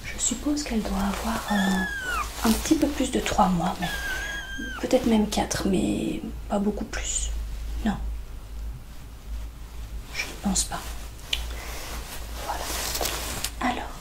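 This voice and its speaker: A woman doing a soft-spoken, whispered ASMR roleplay as a veterinarian, speaking gently and reassuringly with hesitant pauses. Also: medium pitch, background noise.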